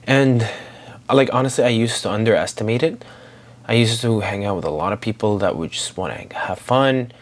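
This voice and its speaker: A man's voice: on the low side, moderate pace. A man in his twenties, originally from Syria, talking reflectively in a personal daily vlog, rambling with many fillers and restarts. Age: 20s. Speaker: daily vlogger.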